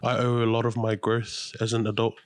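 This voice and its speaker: A man talks easily on a podcast, loose and slangy, with plenty of fillers. His voice sits at a middling pitch. The sound is dry and close, with no room around it. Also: completely flat delivery, brisk, no room sound. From New Zealand. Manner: laid-back, slangy, chatty with fillers. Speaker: podcast guest, radio host. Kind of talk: casual chat.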